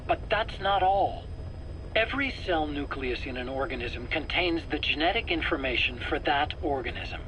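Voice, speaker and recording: A man's voice: a little high, bright, forceful tone. An actor playing a nervous, self-justifying male scientist in a video game interrogation, stammering and earnest. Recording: noisy cassette tape interrogation recording.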